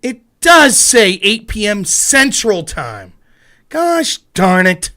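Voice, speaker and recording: Lively, grumbling livestreamer man. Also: sits high, slow. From Pennsylvania. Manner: grumbling to himself, mild cursing, then opinionated. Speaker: political livestreamer. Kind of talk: casual livestream monologue. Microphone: headset.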